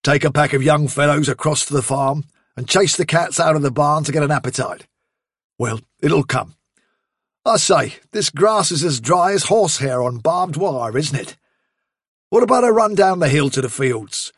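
A man's voice: on the high side, expressive, normal speed, breathy edge, no echo, studio quality. A British man voicing a bluff, hearty male rabbit fighter in a storybook, chatty and plain-spoken. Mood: cheerful, musing.

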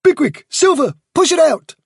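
A man voicing a clever, excitable young rabbit who talks quickly and eagerly. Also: very high voice, no room sound, clean studio sound, pitched above the performer's own voice. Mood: commanding.

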